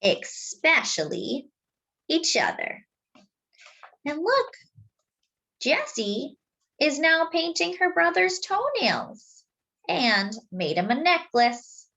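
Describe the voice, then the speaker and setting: Female children's story reader: very unhurried, animated intonation, explanatory and deliberate. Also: high voice, gravelly. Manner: deliberate, explanatory for young listeners. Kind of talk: expressive picture-book read-aloud with simple asides.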